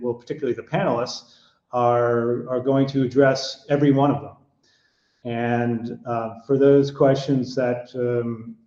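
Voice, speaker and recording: A middle-aged American man moderating an online panel over a video call. His voice is mellow and relaxed, and he stumbles through restarts and fillers. The audio is dull and echoey. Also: mid-range voice. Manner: hesitant, many fillers and restarts. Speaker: webinar host and panel moderator. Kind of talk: online webinar presentation.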